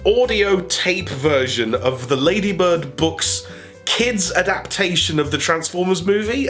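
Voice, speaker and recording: Toy channel host, man, bunged-up nasal cold, upbeat jokey unboxing patter, slightly high voice, soft music underneath. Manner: chatty, jokey, self-amused. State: congested, nasally. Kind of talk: casual unboxing chat.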